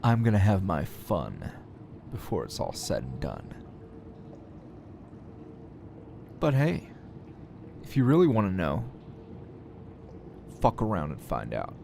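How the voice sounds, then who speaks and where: A man's voice: sits low, huge pitch swings, measured pace, gravelly, pauses often, noisy background, full character voice. A man playing a defiant, sardonic captured hero and teacher in an ASMR roleplay, taunting his kidnapper in a drawl broken by sighs and chuckles.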